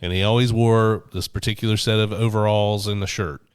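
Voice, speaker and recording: Guy in his 40s on a church podcast, deepish smooth voice, a bit under the weather, dry close sound. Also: steady tone, fairly quick, no echo. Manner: storytelling, thoughtful, with frequent restarts. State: recovering from flu, voice deeper than usual. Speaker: podcast co-host, minister. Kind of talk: casual faith podcast chat.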